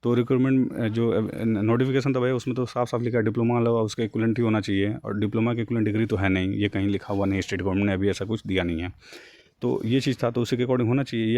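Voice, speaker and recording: Low, mellow man explaining informally. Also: dry recording. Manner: colloquial, mixes Hindi with English terms. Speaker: job news YouTuber. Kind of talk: informal explainer, reading out and paraphrasing a document.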